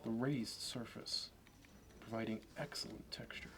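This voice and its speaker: Male actor as a smug investment banker, lowish pitch, measured, fastidious, contemptuous, ASMR roleplay, noisy background. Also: steady tone, a bit bright. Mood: meticulous appraisal. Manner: smooth sales talk, measured and fussy. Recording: clear background noise.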